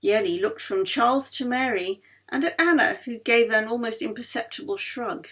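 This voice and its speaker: A woman reading a novel aloud as its author, in an expressive storytelling style. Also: fairly high pitch, normal speed. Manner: acts out dialogue of characters. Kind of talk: expressive audiobook narration.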